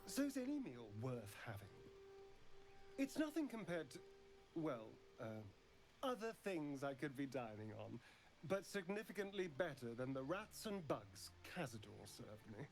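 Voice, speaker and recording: A man's voice: on the high side, big pitch swings, a little slow, a little hiss or hum. A man playing a sly, teasing male vampire in a video game, arch and theatrical. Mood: smug, wry.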